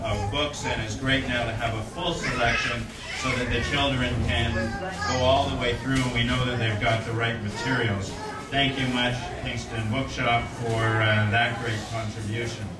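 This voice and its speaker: Man speaking at a podium, roomy sound. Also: medium pitch. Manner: earnest, with frequent fillers and restarts. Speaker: corporate speaker at a donation ceremony. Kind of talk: formal speech. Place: indoor room at an event. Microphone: stand microphone at a podium. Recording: roomy, distant-miked event audio with noise.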